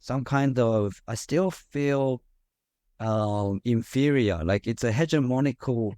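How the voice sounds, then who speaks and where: A man hosting a podcast, chatting thoughtfully with many fillers and pauses. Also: mid-range voice, warm tone, no echo, studio quality. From Korea. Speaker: podcast host. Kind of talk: casual conversation. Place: Australia.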